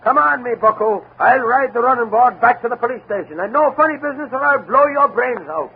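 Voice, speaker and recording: A man's voice: extremely high pitch, a little fast, slightly rough, heavily acted. A man playing a cocky, blustering Irish police patrolman in a 1940s radio drama. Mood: cocky, threatening. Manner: blustering, threatening. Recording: noisy, tinny 1940s radio recording.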